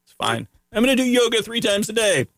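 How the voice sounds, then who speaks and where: A man's voice: sits high, very animated, fairly quick, a bit bright, no echo, clean studio sound. A man hosting a casual, joking interview chat. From Greek family background. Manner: joking, conversational. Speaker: host.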